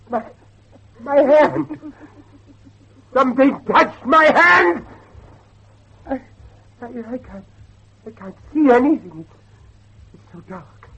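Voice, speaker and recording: An actor playing an older, devout, haunted Victorian father in a theatrical horror drama, speaking haltingly. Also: very high voice, a bit bright, slight background noise, exaggerated voice, rough recording. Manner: theatrical, halting, repeats himself. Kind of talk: dramatic horror audio acting.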